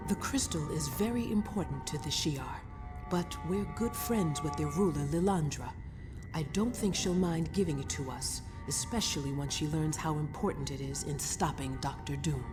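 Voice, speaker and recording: An actress playing a calm, dignified superhero in a game, explaining things in a measured way. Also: female voice, low voice, music in the background, lightly acted. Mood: composed, reassuring.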